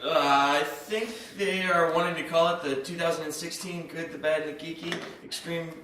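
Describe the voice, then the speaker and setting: A man's voice: fairly high pitch, steady tone, moderate pace, natural voice. A man playing a meek, ordinary bystander in live sketch comedy, speaking awkwardly with nervous, hesitant stalling. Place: live comedy show in a hall. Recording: echoey hall, distant mic, faint noise.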